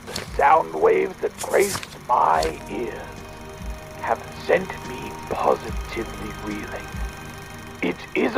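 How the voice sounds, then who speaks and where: A man playing a fussy, excitable Victorian gentleman inventor, speaking a pompous, wordy audio diary in a theatrical drama. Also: mid-range voice, gravelly, exaggerated voice, low-quality recording. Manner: pompous, wordy, hesitant musing.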